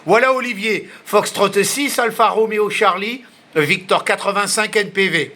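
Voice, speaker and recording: A man's voice: high-pitched, a little slow, pushed and bright. A man chatting casually as an amateur radio operator, talkative and often repeating himself. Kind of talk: casual ham radio contact, unscripted.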